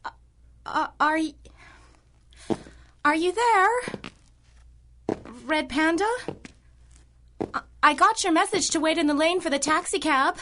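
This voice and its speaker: Anxious, very high-voiced client. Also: female voice, slow, pauses often, fairly bright tone, a little hiss or hum, exaggerated voice. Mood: nervous, frightened. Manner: nervous, stammering, hesitant. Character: anxious, flustered woman pleading for a hero's help. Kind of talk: old-time radio adventure acting.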